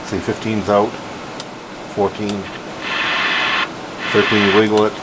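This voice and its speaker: Low-voiced man, halting demo, noisy room. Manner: halting, rambling, self-correcting. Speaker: hobbyist collector reviewing a radio. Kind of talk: casual unscripted product demo. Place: room at home, indoors. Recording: noisy room with steady background hum.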